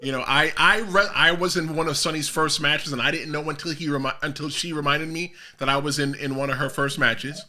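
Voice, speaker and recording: A man with a Jamaican American and New York accent hosting a casual interview, energetic and teasing, pushing his guest to speak up. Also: fairly high pitch, brisk, hardly any pauses. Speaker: podcast host.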